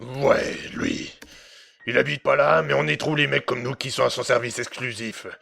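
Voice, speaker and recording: A man's voice: medium pitch, fairly even intonation, normal speed, vocal fry, pushed and bright, exaggerated voice. A man playing a nervous, cornered hitman who speaks casually with slang and fillers, with music underneath. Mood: tense. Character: nervous cornered male hitman, young adult. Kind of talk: amateur audio drama acting.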